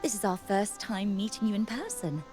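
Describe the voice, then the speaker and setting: An actress playing a chatty, gossipy young novice priestess in a video game, with expressive delivery. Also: female voice, medium pitch, moderate pace, over music, natural voice. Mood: intrigued, gossipy.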